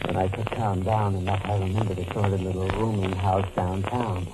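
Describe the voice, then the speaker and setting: An actor playing a tormented, paranoid doctor on the run in melodramatic 1940s radio suspense style. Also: male voice, low voice, level tone, brisk, natural voice. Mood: haunted, frantic narration. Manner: melodramatic, haunted, intense. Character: tormented, paranoid male doctor on the run, narrating his nightmare. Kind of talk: 1940s radio suspense acting, first-person narration and dialogue. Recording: noisy, crackling 1940s radio recording.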